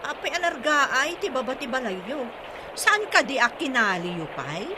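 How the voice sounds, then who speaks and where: Bossy, scolding village health worker, higher-pitched Ilocano, radio comedy with clear background noise. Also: female voice, sing-song, normal speed. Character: scolding female barangay health worker on duty. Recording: noisy radio drama with ambient effects.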